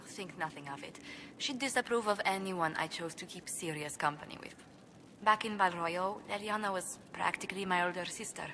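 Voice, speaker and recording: A woman's voice: fairly low pitch, normal speed, sharp tone, slight background noise. An actress playing an earnest, proper, easily flustered noblewoman in a video game, with a polite, precise, formal manner. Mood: wry. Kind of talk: video game cut-scene acting.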